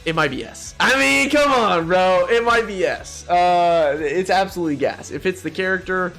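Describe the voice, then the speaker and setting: A young man with a slightly high voice, set over music. He gives casual gaming commentary as a video host, opinionated and full of slang and swearing. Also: normal speed, fairly bright tone. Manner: slangy, profane, opinionated, exclaiming. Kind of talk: casual tier-list commentary.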